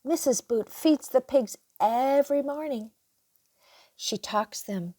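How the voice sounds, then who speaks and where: An older woman reading a children's picture book aloud in an expressive, unhurried way, acting out the dialogue. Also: sits high, no room sound, clean studio sound. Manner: animated, unhurried, acts out dialogue. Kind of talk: expressive read-aloud storytelling for young children.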